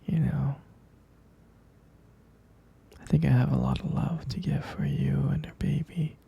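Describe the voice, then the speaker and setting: A man playing a devoted, soothing expectant father in an intimate ASMR roleplay, murmuring affectionately in a soft, breathy, husky whisper. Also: medium pitch, steady tone, exaggerated voice. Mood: earnest, soft. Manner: slow, murmuring, reassuring, affectionate.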